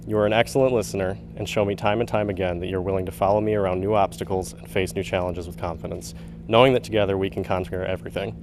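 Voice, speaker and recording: A young man reading earnest wedding vows to his bride, nervous but loud and steady. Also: deep voice, a little hiss or hum. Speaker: groom.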